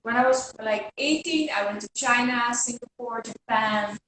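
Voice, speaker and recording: A young Dutch woman with a fairly high voice speaks English calmly and earnestly from some distance from her mic. The room is very echoey, and faint noise sits under her voice on the video call. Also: normal speed. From Netherlands. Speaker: guest. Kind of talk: casual live video chat.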